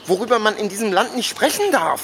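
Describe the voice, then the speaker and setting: Cocky, animated loudmouth groundskeeper. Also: male voice, sits high, quick pace, a crowd in the background. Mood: ranting. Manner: argumentative, ranting, stumbles for words. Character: brash, cocky, grumbling male groundskeeper, know-it-all. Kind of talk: naturalistic radio drama dialogue.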